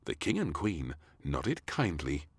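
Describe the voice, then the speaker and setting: A man narrating a scripted children's story in an expressive, deliberate storytelling manner. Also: very deep voice, husky, dry recording, studio quality.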